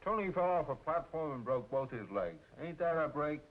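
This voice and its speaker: An actor playing a cocky, surly working-class grown son in an old-time radio drama, young and blunt, with a needling, slangy delivery. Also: male voice, a little high, animated, normal speed, lightly acted. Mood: surly, mocking. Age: young adult. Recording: tinny, hissy old radio recording.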